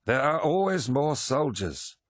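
A man's voice: medium pitch, big pitch swings, measured pace, no echo, voice pushed up. A blunt, uncompromising warrior captain, voiced by a man reading an audiobook. He snarls hard, raised well above the narrator's register, with a fierce, loyal temper and a bone-dry sound. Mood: blunt, ruthless. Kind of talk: dramatic audiobook character acting.

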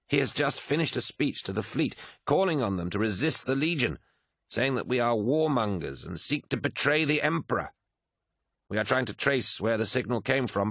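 A man voicing a deferential military adviser in an audiobook, measured, formal and obsequious. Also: mid-range voice, a bit bright, no echo, voice pushed up.

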